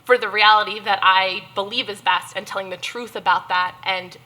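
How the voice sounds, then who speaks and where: A young woman journalist on a panel, talking earnestly and quickly with many restarts. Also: mid-range voice, steady tone, sharp tone, low-quality recording. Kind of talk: panel discussion.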